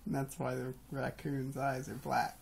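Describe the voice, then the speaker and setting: A man's voice: medium pitch, completely flat delivery, measured pace, creaky, husky. A man in his thirties chatting casually and playfully in a small bedroom, musing as he goes. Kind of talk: casual chat. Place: small bedroom, lying in bed.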